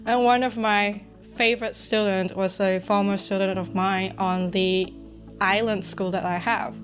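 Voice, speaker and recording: A woman in a casual interview tells fond stories in a chatty way, with plenty of fillers. Her pitch is ordinary, and faint music plays underneath. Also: steady tone, a little slow. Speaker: interviewee, English teacher abroad.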